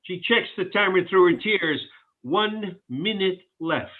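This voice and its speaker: A man with a husky, slightly high voice narrates a sitcom script. He reads scene directions with lively pitch, and the audio is thin and phone-like. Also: slow pace. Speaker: stage-direction reader.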